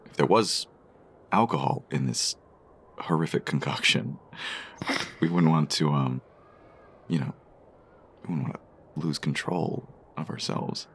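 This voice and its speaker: A man acting a shy, smitten stranger in an audio romance, speaking haltingly and tenderly with a husky, gravelly voice. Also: low voice, full character voice. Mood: nervous, flirtatious. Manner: halting, hesitant, soft-spoken.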